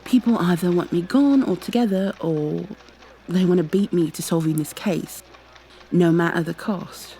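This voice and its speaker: A woman's voice: lowish voice, normal speed, mellow, slight background noise, lightly acted. A woman playing a proud, exasperated goo girl special agent with prim, formal wording in an ASMR roleplay. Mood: weary, earnest. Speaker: voice actor in an audio roleplay.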